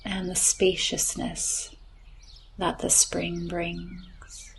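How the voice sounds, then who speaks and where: A woman guiding a relaxation meditation in a gentle, soothing, often breathy voice with long unhurried phrases. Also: lowish voice, monotone, in a whisper. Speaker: yoga nidra meditation guide. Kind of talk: slow guided relaxation meditation. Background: chirping birds, nature ambience.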